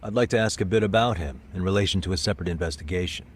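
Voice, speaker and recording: A man's voice: sits low, rapid-fire, a little hiss or hum, close to an everyday voice. A man playing a cool, persistent private detective in a video game cutscene, casual, composed and probing. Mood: probing, reassuring.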